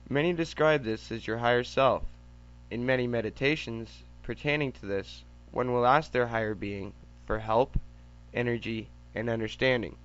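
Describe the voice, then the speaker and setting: A man's voice: medium pitch, steady tone, moderate pace, fairly bright tone, clean tone. Man's muffled lo-fi podcast monologue. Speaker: podcast host. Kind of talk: scripted reflective monologue. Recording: muffled lo-fi amateur recording.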